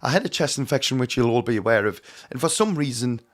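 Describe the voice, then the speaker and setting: A hoarse man giving an earnest, emphatic teaching talk on mediumship, often calling his viewers his friends. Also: mid-range voice, animated, fast talker. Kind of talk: direct-to-camera teaching talk.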